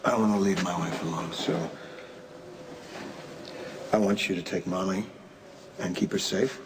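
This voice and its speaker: An actor playing a grave, anguished new father in a TV soap opera, speaking haltingly and earnestly. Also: deep voice, steady tone. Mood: grave, pleading. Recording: very noisy, distant lo-fi TV rip.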